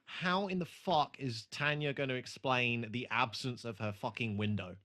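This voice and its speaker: A man's voice: mid-range voice, moderate pace, bright, forceful tone. A man co-hosting a podcast, chatting casually and critiquing bluntly with plenty of swearing. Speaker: podcast co-host. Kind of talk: casual chat, TV episode review.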